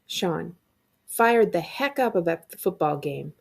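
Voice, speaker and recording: A woman librarian reading a middle-grade novel aloud in an expressive, acted-out storytelling style. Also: fairly low pitch, unhurried, vocal fry, slightly rough.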